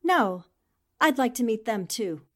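A high female voice from an actress plays a principled newspaperwoman in a forties radio drama. She is articulate and courteous, with a firm, assertive way of pressing her questions. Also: big pitch swings, unhurried, fairly bright tone. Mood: polite, probing. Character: determined, principled newspaperwoman investigating a murder case. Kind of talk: 1940s radio drama acting.

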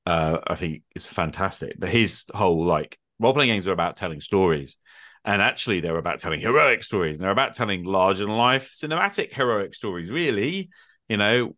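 A man's voice: low-pitched, fairly bright tone, dry recording, studio quality. A middle-aged man in a casual, extended conversation, thinking aloud in a reflective, hesitant way. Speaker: podcast host. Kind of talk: extended casual conversation about role-playing games.

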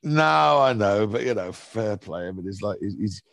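A man's voice: fairly low pitch, expressive, moderate pace, vocal fry, fairly bright tone, no room sound. A middle-aged man from Liverpool chatting casually as a comedian guest, with a wry, opinionated and rambling manner. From Liverpool, England. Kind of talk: casual conversational commentary.

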